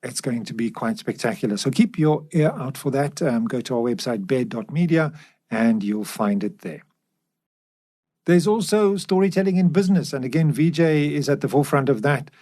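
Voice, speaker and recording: A man presenting a podcast in a measured, explanatory way, pausing mid-sentence. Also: mid-range voice, lively intonation, dark tone. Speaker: podcast host.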